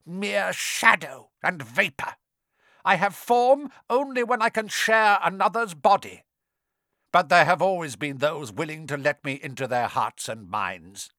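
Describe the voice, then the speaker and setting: A man voicing a cruel, snake-faced dark wizard with a high, hissing, snarling voice in an audiobook. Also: animated, unhurried, no room sound, clean studio sound, exaggerated voice. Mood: sinister. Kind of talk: dramatic audiobook character voice.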